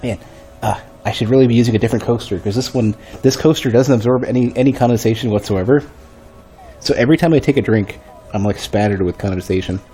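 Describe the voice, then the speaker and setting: A male streamer with a deep, bass-heavy voice, a bit stuffy, chatting casually and thinking aloud while he plays. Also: fast, dark tone, soft background music. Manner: rambling, thinking aloud with restarts. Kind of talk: casual gameplay commentary. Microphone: desk microphone.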